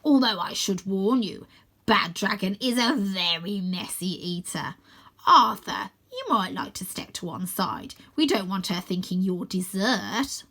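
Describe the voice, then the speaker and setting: A woman's voice: mid-range voice, expressive, a little slow, pushed and bright, breathy edge, full character voice. A woman reading aloud and voicing a scheming, gloating male bird-like villain with a taunting, sing-song manner.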